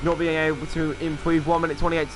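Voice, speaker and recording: A man commentating a sim racing qualifying session, calling laps quickly and excitedly, with offhand asides. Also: a little high, background noise. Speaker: race commentator.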